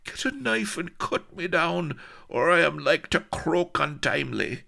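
A man's voice: on the low side, sing-song, a little slow, creaky, sharp tone, pitched above the performer's own voice, heavily acted. A man voicing a sarcastic, anguished rogue of a colonel held captive, groaning out archaic oaths and insults in an audiobook story. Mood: pained, pleading. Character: hanging roguish Colonel, sarcastic, anguished, bombastic pirate captive.

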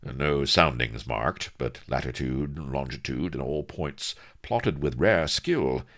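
A man voicing a prim gentleman pirate captain in an audiobook, with pompous, archaic seafaring diction. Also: extremely low pitch, slow, full character voice. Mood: keen.

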